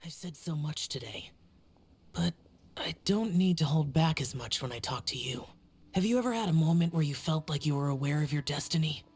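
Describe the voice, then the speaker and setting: A woman voicing a solemn, aloof young male guardian spirit in a video game, speaking in a measured, formal way. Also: sits low, close to an everyday voice.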